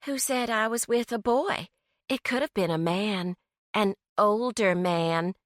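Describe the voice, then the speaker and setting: A woman's voice: medium pitch, a little slow, no room sound, clean studio sound, pitched above the performer's own voice, close to an everyday voice. A woman voicing a defiant teenage girl who talks back in a snappy, dismissive way. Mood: taunting. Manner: snappy, sassy, nonchalant.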